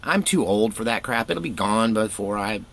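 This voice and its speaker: Low-voiced middle-aged man, laid-back storyteller. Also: lively intonation, normal speed, creaky, a bit bright, a little hiss or hum. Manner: laid-back, wry, self-deprecating. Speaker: storytelling vlogger, former youth pastor. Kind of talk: casual rambling personal storytelling.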